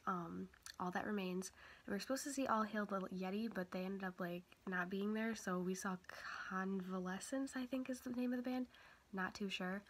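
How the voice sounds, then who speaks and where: A young woman vlogger, a little sick and stuffy, chatting casually and rambling with lots of fillers. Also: mid-range voice. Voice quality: quiet voice. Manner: rambling, many fillers and self-corrections. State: stuffy nose from a cold. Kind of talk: casual chat.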